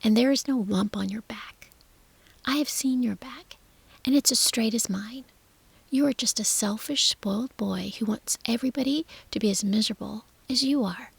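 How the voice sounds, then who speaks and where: A woman's voice: fairly high pitch, moderate pace, creaky, slightly rough, dry recording, heavily acted. A woman voicing a stubborn, blunt young girl who scolds in a clipped whisper. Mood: scolding.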